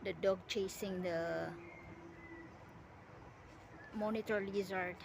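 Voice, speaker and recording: A woman with a Filipino accent speaks at a slow, unhurried pace in casual home-video chat. She is outdoors in a yard, with clearly audible ambient noise around her voice. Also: medium pitch, steady tone. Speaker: vlogger filming pets and wildlife at home.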